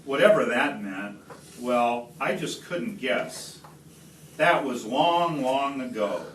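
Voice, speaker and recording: Male picture-book reader, medium pitch, measured dramatic rhyme; roomy phone capture with faint noise. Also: animated, fairly bright tone, distant mic. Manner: deliberate, dramatic pauses. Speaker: read-aloud storyteller. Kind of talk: expressive rhyming picture-book reading.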